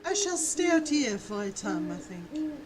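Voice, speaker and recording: An expressive French governess. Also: female voice, sits high, a little slow, over a noisy crowd. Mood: wistful, concerned. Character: earnest superstitious French governess. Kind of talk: radio drama acting. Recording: clear noise, night ambience behind.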